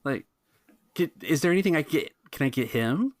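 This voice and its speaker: Guy doing nervous young waiter for an rpg, voice pitched up, stammery and keen to please. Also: animated, normal speed, no echo, studio quality, close to an everyday voice. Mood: anxious, pleading. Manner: hesitant, stammering questions. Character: timid, anxious young waiter, eager to please.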